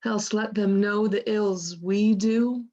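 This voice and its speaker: A woman's voice: medium pitch, fairly even intonation, slow, a little mellow, lo-fi sound, close to an everyday voice. A woman playing a worldly, outspoken lady's maid in a Shakespearean radio play, with a wry, frank manner. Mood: frank, defiant.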